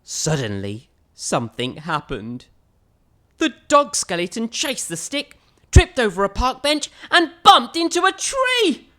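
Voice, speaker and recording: Very animated woman reading a storybook. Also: fairly high pitch, unhurried. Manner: theatrical, emphatic phrasing. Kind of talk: expressive children's storybook reading.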